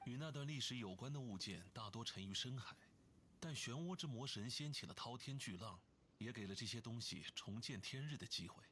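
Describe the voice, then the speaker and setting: A man voicing a composed, learned gentleman in a video game, speaking in a measured, formal, explanatory way. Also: medium pitch, lively intonation, a little hiss or hum. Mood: calm, explanatory. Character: composed, learned gentleman consultant, scholarly and unhurried. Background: game music.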